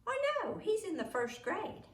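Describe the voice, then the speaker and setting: A sly little schoolgirl troublemaker from a storybook, played by a woman reading aloud. She is cheeky and offhand, with swinging pitch and a roomy sound around her. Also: sing-song, measured pace, heavily acted.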